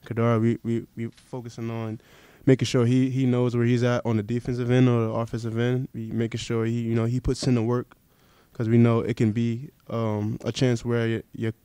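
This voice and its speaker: A young man, a college basketball player, answering reporters after a game in a press room, speaking softly with lots of fillers. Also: mid-range voice, little pitch movement, normal speed, hardly any pauses, smooth, no echo. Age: young adult. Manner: soft-spoken, many fillers. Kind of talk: postgame press conference answers. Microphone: table mic.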